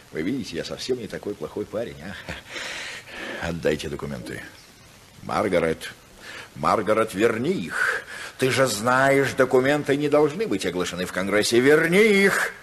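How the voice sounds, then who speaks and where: An actor playing a cynical, scheming senator husband with a sardonic, theatrical, mock-polite manner in a 1950s Soviet radio drama. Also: male voice, mid-range voice, a bit bright, slightly rough, heavily acted. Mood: threatening. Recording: 1950s radio recording, long hall reverb.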